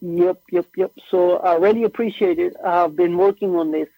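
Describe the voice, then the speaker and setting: High gravelly man on a tinny line. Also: normal speed, no room sound. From Norway. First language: Norwegian. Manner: relaxed, slangy, says "bro" and "like" often. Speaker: musician guest. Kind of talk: casual interview chat. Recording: tinny phone-like remote line.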